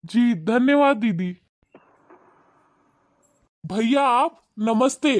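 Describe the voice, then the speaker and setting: A man's voice: very high voice, expressive, very slow, no room sound, exaggerated voice. A man voicing a humble, hardworking young male newspaper seller in a cartoon, talking politely and deferentially. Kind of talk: cartoon voice acting.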